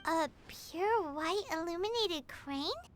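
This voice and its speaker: A woman voicing a tiny, excitable, chatty fairy companion in a video game, with a squeaky childlike voice. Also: slow, exaggerated voice. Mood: curious. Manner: refers to self in third person, exclaiming. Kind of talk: English video game voice acting.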